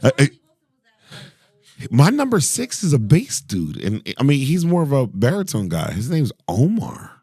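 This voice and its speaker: A man in his forties with a deep voice, a singer talking as a podcast guest in casual chat, animated, laughing and often restarting his sentences. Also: unhurried, slightly rough, no echo, studio quality.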